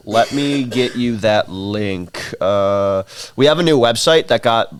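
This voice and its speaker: A man's voice: on the low side, no room sound, clean studio sound. A sleep-deprived man hosting a casual livestream in a rambling, informal, self-deprecating way, his voice tired. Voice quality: rough, tired voice. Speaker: livestream host, shop staff. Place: small dark indoor room.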